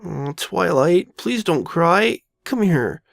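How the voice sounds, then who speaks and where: A woman voicing a loyal, reassuring young boy dragon who talks plainly and gently insists, in an audio drama. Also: on the low side, expressive, slow pace, creaky, no room sound, studio quality, full character voice. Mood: soothing. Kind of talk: all-dialogue fan audio drama.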